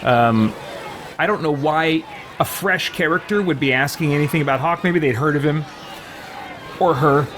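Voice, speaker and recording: A man's voice: fairly high pitch, normal speed, pauses often, background noise. A man hosting a let's play, giving casual, hesitant commentary as he thinks aloud. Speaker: gaming channel host.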